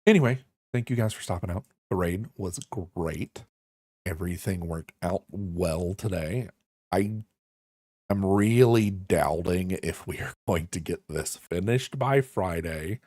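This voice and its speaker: A man's voice: low voice, measured pace, darkish tone, no room sound, clean studio sound. A young man streaming a game, reading the dialogue aloud and chatting in a loose, self-mocking way.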